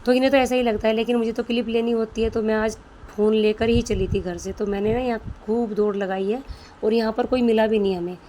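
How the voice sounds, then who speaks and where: A woman's voice: a little high, fairly even intonation, quick pace, dark tone, slight background noise. A woman vlogger with a sore throat, chatting homely and directly to her viewers about her day. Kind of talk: casual home vlog voice-over.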